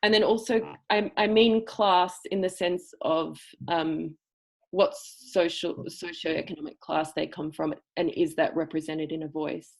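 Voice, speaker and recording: A woman with a cultivated Australian accent, an acting teacher explaining her methods in a seminar over a video call, thoughtful and pausing to find her words. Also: sits low, steady tone, vocal fry. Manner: thoughtful, searching for words, with pauses.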